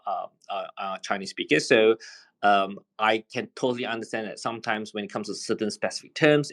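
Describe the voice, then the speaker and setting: A man hosting and moderating a casual panel chat. He talks in a relaxed, chatty way with plenty of fillers. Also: lowish voice. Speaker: host and moderator.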